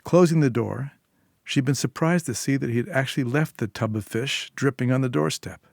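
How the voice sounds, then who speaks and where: A male narrator reads literary fiction at a medium pitch for a man. The recording is dry and close, with hardly any room around the voice. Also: normal speed, gravelly, no room sound. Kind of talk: scripted short story narration.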